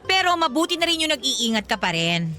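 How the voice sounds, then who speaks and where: An actress playing a sassy, protective young best friend in a radio romance drama, with an exaggerated, teasing and scolding manner. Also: female voice, high voice, sing-song, brisk, sharp tone, full character voice.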